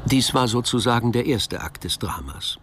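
A man narrating a German radio crime drama in a measured, literary storytelling manner. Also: medium pitch, expressive, a bit bright, slight background noise.

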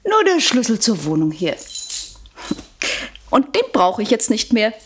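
An actress playing a flirtatious, pleasure-loving unfaithful wife in a radio crime drama, with a playful manner. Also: female voice, a little high, huge pitch swings, measured pace, a little hiss or hum. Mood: coquettish. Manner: coquettish, playful.

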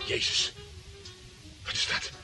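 A man's voice: slow pace, bright, forceful tone, faint music behind. An actor playing a cocky, crude, womanizing private detective in a Dutch radio play, swaggering and teasing. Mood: rattled, baffled.

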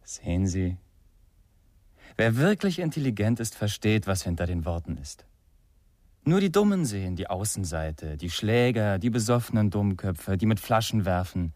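A man's voice: lowish voice, sing-song, normal speed, darkish tone, dry recording, studio quality. An actor playing a menacing, condescending man who thinks himself clever, in a German radio crime drama. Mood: condescending menace.

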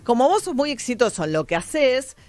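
A woman's voice: fairly high pitch, big pitch swings, normal speed, darkish tone. An Argentine woman co-hosting a talk show, chatting in an earnest, reflective way. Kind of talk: casual banter on a talk show.

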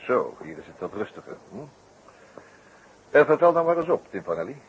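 A composed, wry detective, speaks in a mid-range voice with lively swings of pitch. He questions people in the manner of old radio drama, on a muffled recording. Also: fairly quick, slight background noise. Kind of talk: 1960s radio play acting.

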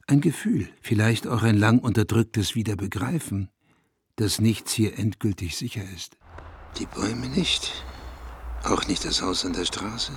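A brooding man from a small town, narrating a literary radio play. His voice sits fairly low and is very husky and rough at the edges. He speaks in a measured, reflective way, like someone thinking back over old stories. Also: dry recording. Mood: brooding, haunted. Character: brooding small-town man recalling local gossip and the dead.

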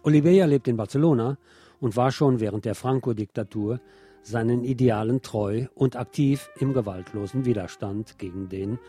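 A man's voice: mid-range voice, normal speed, warm tone, slightly rough, faint music behind. A man presenting scripted radio news with wry asides.

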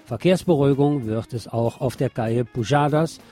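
A man's voice: mid-range voice, normal speed, warm tone, faint music behind. A man presenting scripted news and commentary on the radio, reading as a presenter.

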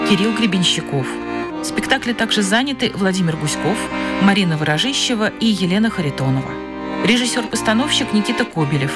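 A woman radio announcer reading scripted closing credits in a measured, formal way. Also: medium pitch. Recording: loud accordion and guitar music behind. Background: accordion music.

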